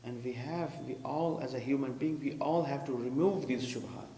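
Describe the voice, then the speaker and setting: A man's voice: mid-range voice, moderate pace, dark tone. Man preaching in an echoey hall. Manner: explains terms, asks the congregation. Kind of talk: sermon commentary. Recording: echoey hall with faint hum.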